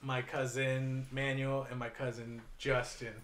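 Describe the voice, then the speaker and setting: A man's voice: mid-range voice, little pitch movement, unhurried, fairly bright tone, reverberant, a little hiss or hum. A man in his thirties telling a rambling, profane story on a podcast, laughing through it. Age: 30s. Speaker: podcast co-host. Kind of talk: casual chat, storytelling.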